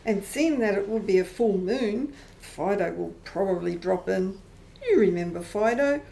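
A woman's voice: mid-range voice, animated, normal speed, creaky, warm tone. A woman playing a friendly, chatty, motherly vampire countess in an amateur radio play. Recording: faint background noise.

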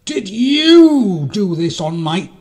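A man voicing an indignant, short-sighted little mole from a children's storybook, with loud, drawn-out, demanding questions. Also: sits high, very animated, voice pushed up, exaggerated voice.

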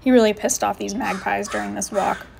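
A woman in her thirties narrates a travel vlog in a relaxed, chatty tone and laughs lightly as she goes. Her voice sits at a middle pitch, with a faint hiss underneath. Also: brisk, gravelly. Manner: easygoing, chatty, laughs between phrases. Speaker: veterinarian and channel host.

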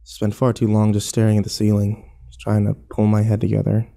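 A man's voice: on the low side, monotone, brisk, creaky, warm tone. An actor playing a weary, irritable hospital patient who tells his story in a sullen, sardonic first-person horror narration. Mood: weary, brooding.

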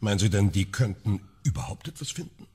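A man's voice: lowish voice, very animated, a little fast, darkish tone, breathy edge, exaggerated voice. A man acting in a German radio crime drama as a needling, sardonic clergyman sleuth, dry and probing.

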